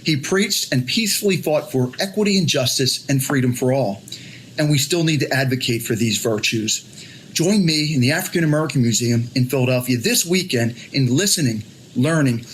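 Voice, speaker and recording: A man's voice: mid-range voice, expressive, moderate pace, poor audio. A man delivering a prepared public greeting in the style of an official address. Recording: faint background noise.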